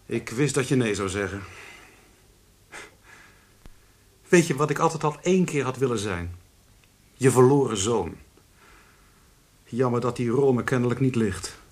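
A reproachful grown son in a radio drama, acted by a man. His husky voice moves in lively swings of pitch. He speaks with a sardonic, accusing edge. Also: moderate pace, pauses often, natural voice. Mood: urgent, wounded. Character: bitter, reproachful adult son who feels slighted. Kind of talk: radio play acting.

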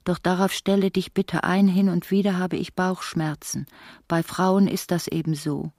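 An actress plays a middle-aged woman locked in a cell, in an intimate radio drama. Her voice sits fairly low, with a very dry studio sound. She speaks with theatrical tenderness and wry self-mockery. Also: level tone, normal speed, a little mellow, dry recording, lightly acted. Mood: wry, tender. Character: imprisoned ailing woman of forty-eight, tender, self-mocking, longing. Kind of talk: intimate radio play acting.

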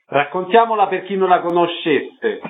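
A man's voice: high-pitched, animated, moderate pace, dry recording, narrow, tinny audio. A man hosting a radio interview with concise, announcer-like delivery.

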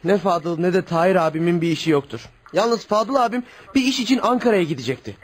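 High, frantic young murder suspect. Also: male voice, animated, a little fast. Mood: panicked, pleading. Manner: pleading, agitated, defensive. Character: frightened young male murder suspect pleading innocence. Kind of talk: radio theatre acting.

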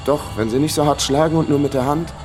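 A man's voice: fairly high pitch, fast, over music, close to an everyday voice. An actor playing a wry, down-on-his-luck amateur sleuth who narrates a radio crime drama with dry sarcasm. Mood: matter-of-fact. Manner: dry, sardonic. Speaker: narrator and lead character.

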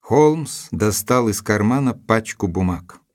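A gentleman narrator from a classic detective audio drama. His voice is fairly low. He recounts the investigation as composed, descriptive literary narration. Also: expressive, takes it slowly. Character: Doctor Watson, male narrator recounting the investigation.

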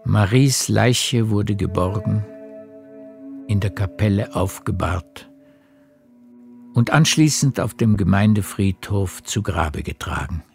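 A man reading scripted literary narration for a radio drama in a measured storytelling manner. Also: fairly low pitch, lively intonation, vocal fry, faint music behind.

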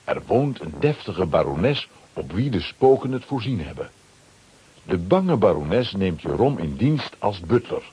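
A man narrates a children's adventure radio play in a low, very husky voice. His scripted storytelling swings widely in pitch and sounds animated.

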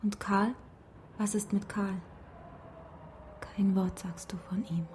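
An actress playing a stubborn, grieving young daughter in a radio drama, speaking insistently in a breathy half-whisper. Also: female voice, medium pitch, fairly even intonation, slow pace, a little mellow, slight background noise, natural voice. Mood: hushed, defensive.

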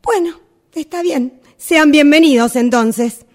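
Woman acting an eager, high-voiced Argentine inviter. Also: takes it slowly. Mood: hopeful, awkward. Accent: Rioplatense Argentine. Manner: hesitant, coaxing. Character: eager, hopeful woman awkwardly inviting someone out. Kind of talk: radio theatre workshop monologue.

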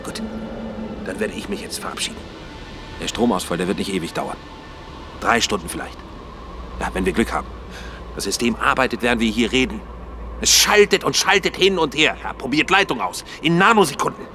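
A man's voice: medium pitch, animated, fairly quick, long pauses, music in the background, lightly acted. An actor playing an agitated male insider in a science-fiction radio drama, speaking formally, theatrically and insistently. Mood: agitated, urgent. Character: agitated male insider warning of a murderous system.

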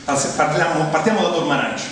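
A man with a Roman accent and a slightly high voice performs a comic monologue about himself on stage. His delivery is conversational and exasperated. The recording is distant, with heavy hall echo and clear background noise. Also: racing, a bit bright. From Rome, Italy. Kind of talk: comic autobiographical theatre monologue. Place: live stage performance in a theatre. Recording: echoey theatre hall, distant mic, noisy.

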